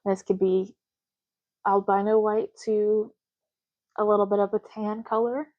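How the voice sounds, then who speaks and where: A soft-spoken woman doing an ASMR roleplay as a clerk, talking casually and matter-of-factly in unhurried short phrases with pauses. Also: medium pitch, level tone, muffled recording. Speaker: ASMR roleplay host, playing an intake clerk making ID cards.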